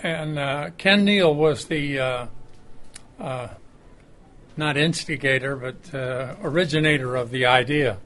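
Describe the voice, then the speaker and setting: An older man giving an informal reminiscing speech at a club luncheon, hesitant and halting. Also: medium pitch, a bit bright. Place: restaurant luncheon room. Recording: faint room noise.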